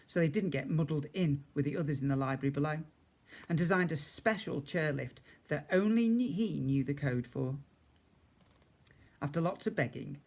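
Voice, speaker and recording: A man reading a children's story aloud expressively, as a teacher does for a young class. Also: on the high side.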